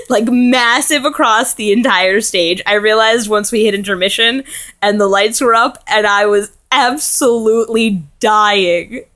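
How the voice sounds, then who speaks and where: Chatty young woman with a bright voice. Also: mid-range voice. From United States. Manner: chatty and rambling, many ums and likes. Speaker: teacher abroad vlogging. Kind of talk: casual video letter to a friend.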